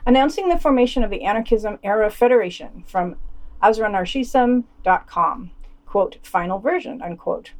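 Mid-pitched woman reading news, dryly sardonic. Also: animated, moderate pace, a little mellow. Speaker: podcast host reading news. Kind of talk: scripted news commentary with quoted readings.

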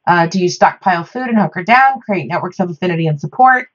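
An American woman in a reflective podcast discussion, speaking thoughtfully and haltingly with pauses between phrases. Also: on the low side, expressive, lo-fi sound.

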